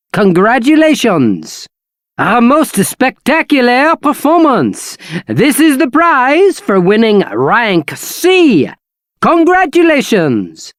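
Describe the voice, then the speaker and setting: A woman with a British accent playing an excitable, courteous battle arena attendant in a video game, polite and theatrical. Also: on the high side, no echo, clean studio sound. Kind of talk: video game character acting.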